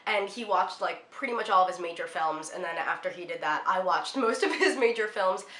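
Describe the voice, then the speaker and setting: Young female film vlogger, slightly congested, chatty with lots of fillers, bright voice, echoey room. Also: lowish voice, expressive, a little fast. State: congested from a cold. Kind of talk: casual film recommendations to camera.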